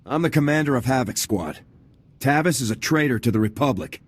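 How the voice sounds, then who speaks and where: An actor playing a firm, no-nonsense military squad commander in a video game, authoritative and matter-of-fact. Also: male voice, medium pitch, animated, fairly quick, husky. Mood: stern, commanding.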